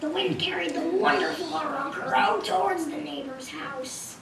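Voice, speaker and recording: A woman's voice: sits high, moderate pace, creaky, over a noisy crowd. A woman narrating a scripted children's tale at a puppet show, heard from a distance in an echoey, noisy room. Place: community puppet show in a roomy venue.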